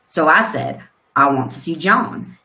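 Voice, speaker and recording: A woman's voice: low voice, expressive, unhurried, sharp tone, heavily acted. A woman playing a plain-spoken country farmer who gives a rambling, folksy account in a radio play. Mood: folksy, puzzled. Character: plain-spoken rural male farmer recounting a shock. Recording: roomy, echoing sound.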